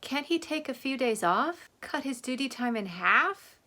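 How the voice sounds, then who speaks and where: A woman's voice: sits high, expressive, measured pace, sharp tone. A woman with an American accent giving casual commentary as host of a reaction video. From Oregon, United States.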